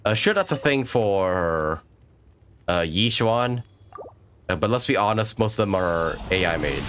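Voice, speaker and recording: A man's voice: fairly low pitch, lively intonation, a little slow, a bit bright, slight background noise. A man chatting casually while livestreaming a video game, hesitant and rambling as he thinks aloud. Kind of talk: casual gaming livestream chat. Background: video game music.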